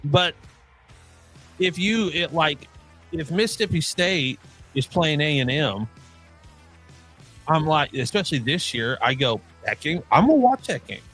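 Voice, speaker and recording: A man with a somewhat high voice talks sports in a loose, rambling way, often restarting his sentences. His accent is a blend of Midwestern and Oklahoma speech. Faint music plays behind him. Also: measured pace, long pauses. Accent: mixed Midwestern and Oklahoma. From Midwestern United States. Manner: animated, rambling with many restarts. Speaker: co-host. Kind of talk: casual sports chat.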